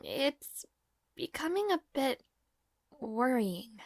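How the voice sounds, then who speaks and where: A woman's voice: high voice, big pitch swings, slow, dry recording, clean studio sound, exaggerated voice. A woman acting in an audio roleplay as a devoted young maid to a noble lord, nervous and caring, with a hesitant, formal and apologetic manner. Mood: gently worried. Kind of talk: scripted audio roleplay, intimate fantasy drama.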